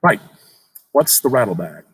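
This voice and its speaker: A man's voice: medium pitch, big pitch swings, a little slow, husky, dry recording, full character voice. A man playing a skeptical, curious young man who humors and questions, slightly uneasy, in a horror story reading. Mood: uneasy, probing.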